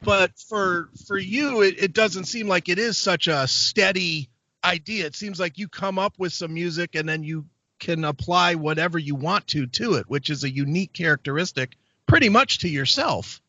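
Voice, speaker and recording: A man's voice: high-pitched, normal speed, pushed and bright, no room sound, highs cut off. A man hosting an interview, asking long, stumbling questions and giving short affirmations. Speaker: show host.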